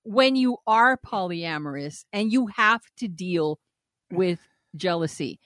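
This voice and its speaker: A woman's voice: fairly low pitch, expressive, slow pace, fairly bright tone, dry recording. A woman co-hosting a podcast, reading a listener's email aloud and giving blunt, frank advice with frequent laughter and swearing. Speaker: podcast co-host.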